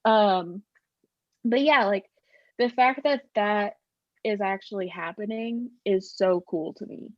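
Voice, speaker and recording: A woman's voice: medium pitch, takes it slowly, no echo, muffled recording. A young woman in her twenties, a podcast guest chatting casually with lots of fillers and laughter, with a slightly nasal voice. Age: 20s. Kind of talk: casual chat.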